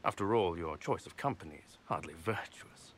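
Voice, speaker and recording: Man acting a deep-voiced, pompous noble villain. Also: normal speed, slight background noise, close to an everyday voice. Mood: smug. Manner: pompous, formal, patronizing. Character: smug, condescending male nobleman villain, smooth schemer. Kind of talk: video game character acting.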